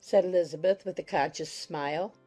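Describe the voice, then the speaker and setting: Female audiobook narrator, middle pitch, warm dark tone, measured, formal, faint music behind.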